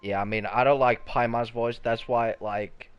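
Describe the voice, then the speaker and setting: A man's voice: mid-range voice, steady tone, normal speed, clear, resonant tone, lo-fi sound. A man giving casual live commentary as a game streamer, chatty and laid-back. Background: game music.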